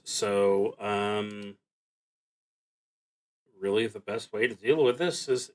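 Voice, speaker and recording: A man streaming a solo tabletop roleplaying game, narrating his play and thinking aloud with hesitant pauses. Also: fairly low pitch, fairly bright tone.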